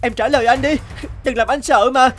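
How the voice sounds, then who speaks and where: A man's voice: very high-pitched, steady tone, fairly quick, a bit bright, slight background noise. A man voicing a caring, hardworking orphan boy in a cartoon, an earnest and tender big brother. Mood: worried.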